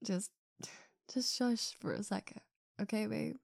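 A woman playing a possessive but affectionate girlfriend in an intimate roleplay audio, with a breathy, drawn-out delivery. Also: medium pitch, darkish tone, no echo, studio quality. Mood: sheepish, affectionate.

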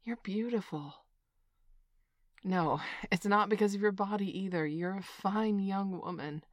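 A woman's voice: medium pitch, unhurried, no echo, studio quality, close to an everyday voice. A woman acting a flustered parent in a scripted audio roleplay, who swings between scolding and soothing. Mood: stern. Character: flustered protective parent, middle-aged.

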